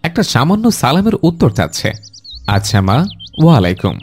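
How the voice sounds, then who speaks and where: A man voicing an indulgent, slightly impatient father in a cartoon, with a coaxing, expressive manner. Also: mid-range voice, normal speed, breathy edge, faint music behind. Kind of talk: animated cartoon dialogue.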